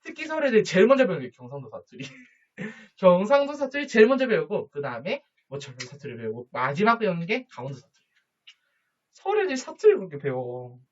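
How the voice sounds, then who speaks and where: A man teaching a high school social studies lesson, chatty, with jokey asides and quick questions to his students. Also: wildly swooping pitch. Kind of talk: online lecture to students. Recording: dull lo-fi recording.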